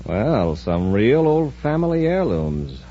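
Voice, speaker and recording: An actor playing a calm, authoritative space patrol commander in a 1950s radio serial style. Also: male voice, lowish voice, takes it slowly, exaggerated voice. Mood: probing. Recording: muffled, noisy old-time radio recording.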